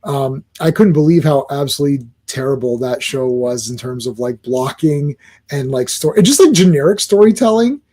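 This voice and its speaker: A man co-hosting a casual podcast, talking in an animated, rambling way with many restarts. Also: medium pitch, moderate pace. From Croatian family, born locally. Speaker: podcast co-host. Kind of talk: casual chat.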